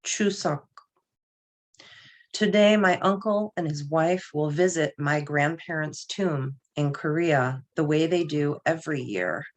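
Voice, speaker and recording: A middle-aged woman reading her own poetry in a measured, solemn way with deliberate phrasing. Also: low-pitched. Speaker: poet reading own work. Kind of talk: poetry reading with brief spoken introductions.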